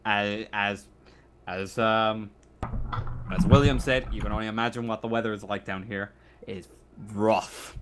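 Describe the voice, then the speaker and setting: A man running a tabletop game, improvising scene narration in a halting way and repeating phrases as he searches for words. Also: fairly low pitch, steady tone, very noisy. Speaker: game master.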